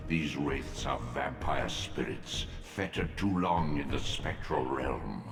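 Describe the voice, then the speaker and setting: A man playing an ancient, solemn elder god in a video game, speaking in a grave, portentous and deliberate way. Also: very deep voice, vocal fry, heavily acted. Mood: solemn warning. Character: ancient male elder god, solemn, imposing, all-knowing. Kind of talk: theatrical video game voice acting. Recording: echoing reverb under loud music. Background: loud music.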